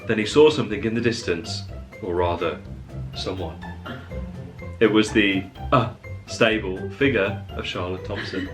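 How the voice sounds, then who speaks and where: A man's voice: lowish voice, measured pace, music in the background. A man reading stories dramatically and bantering in a playful, amused way, often laughing. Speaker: vlogger, co-reader with his sister.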